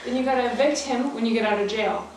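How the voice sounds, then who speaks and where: A woman's voice: fairly high pitch, close to an everyday voice. Firm policewoman, very echoey room, acted. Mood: stern. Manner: measured, official. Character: firm, world-weary female police officer. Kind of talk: radio thriller acting. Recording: very echoey, distant, noisy recording.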